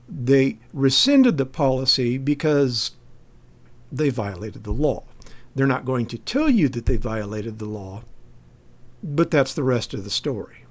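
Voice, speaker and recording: American male commentator, medium pitch, pointed and emphatic, argumentative rebuttal delivery. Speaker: activist commentator giving a response.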